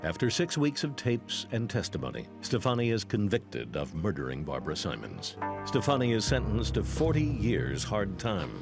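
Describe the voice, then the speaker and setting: A man narrating a true-crime documentary in a scripted, dramatic and deliberate style. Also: fairly low pitch, wildly swooping pitch, over music.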